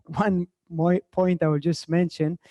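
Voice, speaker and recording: A man from Israel speaks as a spiritual teacher and meditation guide. His voice is relaxed and somewhat high for a man, and he takes his time with very slow, courteous phrasing. The sound is dry. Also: dry recording, highs cut off.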